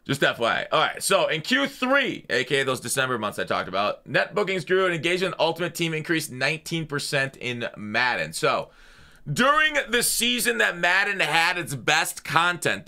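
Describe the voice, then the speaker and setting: A man's voice: a little high, pushed and bright. A man hosting a gaming channel, talking through the news in a casual, off-the-cuff way with blunt, slangy opinions.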